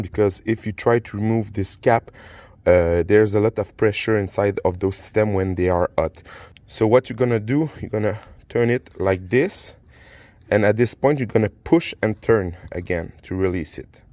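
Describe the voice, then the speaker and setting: A middle-aged man with a French accent, a native French speaker, hosting a car repair channel and explaining a coolant change in a halting, casual way with many fillers. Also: on the low side, darkish tone, dry recording. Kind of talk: casual how-to demonstration.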